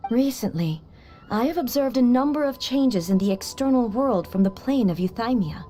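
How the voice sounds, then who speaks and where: A woman voicing a composed, regal female ruler in a video game, speaking in measured, formal sentences. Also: a little high, lightly acted. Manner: measured formal diction, calm and stately. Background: game music.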